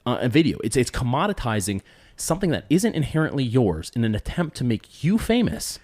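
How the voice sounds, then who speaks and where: A man's voice: medium pitch, very animated, fairly quick, darkish tone, no echo. A man co-hosting a podcast, debating in casual talk, often restarting sentences and pushing his point. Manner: argumentative, restarts sentences, rising when pressing a point. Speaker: podcast co-host.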